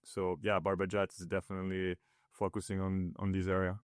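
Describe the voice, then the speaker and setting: A man's voice: sits low, completely flat delivery, a little fast, clear, resonant tone, no echo, clean studio sound. A man from northern Italy, raised in Switzerland, whose first language is Italian, talking through a casual interview with many fillers and restarts. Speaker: ski builder guest.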